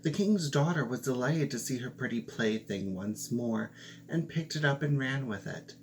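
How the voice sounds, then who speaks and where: A man reading a fairy tale aloud, slow and expressive, with playful asides. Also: medium pitch, a little hiss or hum. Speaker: storyteller.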